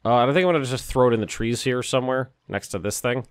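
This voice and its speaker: A man with a slightly hoarse voice, casually commenting while he plays a city-building game, thinking aloud and cursing now and then. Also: mid-range voice, quick pace, no echo. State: a little hoarse. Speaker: let's play host.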